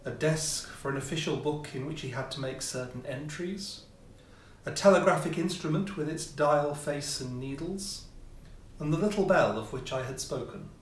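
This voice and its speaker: A man reading a classic ghost story as scripted narration, with measured, theatrical phrasing and pauses. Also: fairly high pitch. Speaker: audiobook reader, amateur player. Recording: roomy echo, distant mic, faint noise.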